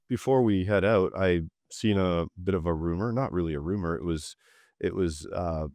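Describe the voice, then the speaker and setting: A man in his thirties hosting a podcast, chatting in a relaxed, agreeable way with a guest over a video call from a dark home studio. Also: low voice, smooth, dry recording. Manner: relaxed, agreeable, brief interjections. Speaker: podcast host. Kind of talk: casual interview chat.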